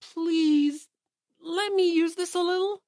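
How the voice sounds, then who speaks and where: A woman voicing a timid, gentle teenage boy for an audiobook, polite, hesitant and small-voiced. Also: very high-pitched, fairly even intonation, takes it slowly, dry recording, studio quality, pitched above the performer's own voice. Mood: meek, worried. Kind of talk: anime-style audiobook character acting.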